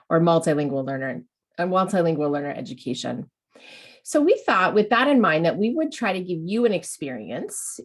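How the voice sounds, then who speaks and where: A woman's voice: low-pitched, gravelly. A woman hosting an educational webinar, talking in an encouraging way and often restarting her sentences. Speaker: webinar host.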